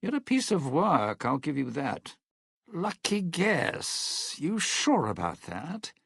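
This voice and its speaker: A gravelly, cynical game schemer. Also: male voice, fairly high pitch, huge pitch swings, takes it slowly, a bit bright, no echo, studio quality, heavily acted. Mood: urgent, wry. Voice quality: gravelly, raspy. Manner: wry, theatrical, hesitant muttering turning to threats. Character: cynical, self-serving male lounge singer, slick and menacing. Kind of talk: video game character dialogue.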